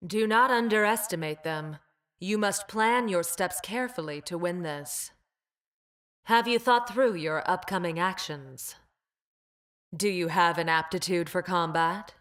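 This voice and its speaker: A woman's voice: on the low side, measured pace, fairly bright tone. A woman voicing a cool, calculating, condescending female scientist fighter in a video game, with a clipped, precise, clinical manner. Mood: calm, analytical. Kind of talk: game character voice lines.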